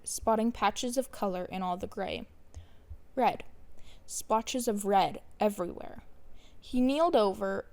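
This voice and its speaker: Creaky-voiced woman narrating fiction. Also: medium pitch, darkish tone. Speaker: podfic narrator. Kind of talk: scripted fan fiction narration.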